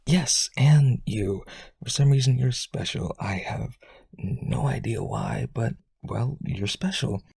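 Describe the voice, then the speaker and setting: A man playing a lonely vampire aristocrat in a romantic audio roleplay, pleading earnestly and haltingly in a breathy, husky voice. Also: expressive, darkish tone, no room sound, studio quality, exaggerated voice. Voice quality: husky, breathy low voice, often near whisper.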